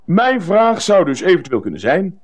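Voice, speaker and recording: Boisterous gym teacher, swooping voice. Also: male voice, high voice, big pitch swings, normal speed. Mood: smug explaining. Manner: jovial, bossy, loud exhortations. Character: boisterous, hearty gym-teacher buddy, overbearing and crude. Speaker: actor in a radio play. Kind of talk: comedic radio drama acting.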